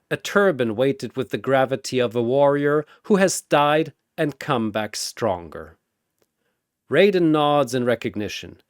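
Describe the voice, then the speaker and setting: A man's voice: medium pitch, no room sound, studio quality. A man giving a dramatic, theatrical narration of a scripted story.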